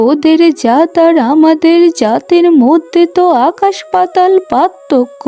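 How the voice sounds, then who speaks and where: A woman's voice: high voice, mellow. A woman voicing a proud, domineering middle-aged mother with an emphatic manner in a cartoon family drama.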